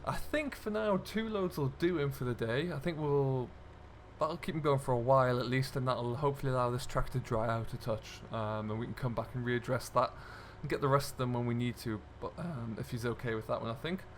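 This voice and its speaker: Tractor engine noise sits under a man with a mid-pitched voice. He narrates his gaming role-play casually, chatting and thinking aloud at an easygoing pace as he goes. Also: a little fast. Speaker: gaming video host.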